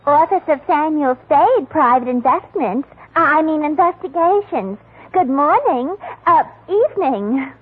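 A woman's voice: high-pitched, lively intonation, a little slow, a little hiss or hum. An actress playing a flustered, ditzy young female secretary who garbles her words, in a 1940s radio drama. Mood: prim. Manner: garbled words, fluttery and gushing. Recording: tinny old radio broadcast.

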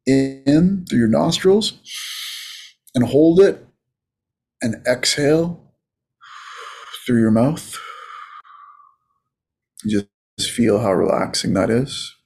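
A man with a lowish, husky voice leads a guided meditation. He talks very slowly and casually, leaving space between short phrases in a soothing, unhurried way. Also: a little mellow. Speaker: meditation coach.

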